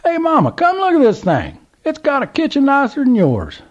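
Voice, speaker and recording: A man putting on a squeaky excited old Southern codger. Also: normal speed, slightly rough, highs cut off, exaggerated voice. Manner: drawling, exclaiming, high and squeaky.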